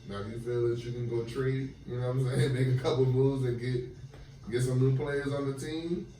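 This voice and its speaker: A man's voice: mid-range voice, flat delivery, a little fast, dark tone, slight background noise. A man in his late twenties, co-hosting a podcast in casual chat, laid-back and repeating phrases for emphasis. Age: 20s. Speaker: podcast co-host. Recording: echoey room, far from the mic, music behind.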